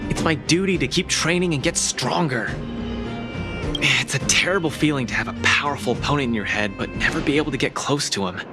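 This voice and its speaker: A man's voice: medium pitch, brisk, over music, close to an everyday voice. A man playing a cheerful, cocky young underworld fighter in a video game, breezy and informal. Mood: earnest, determined.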